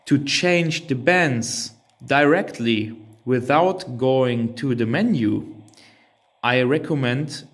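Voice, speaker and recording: Slow-talking man demonstrating gear. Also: mid-range voice. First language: German. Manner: hesitant, thinking aloud. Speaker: reviewer demonstrating a radio transceiver. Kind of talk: unscripted hands-on product walkthrough.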